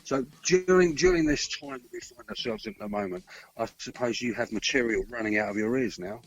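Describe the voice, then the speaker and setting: A man co-hosts a relaxed music interview, putting questions to the guest. His voice is croaky and gravelly with a husky edge, and its pitch moves about in a lively way. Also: fairly quick, poor audio. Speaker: radio co-host interviewer.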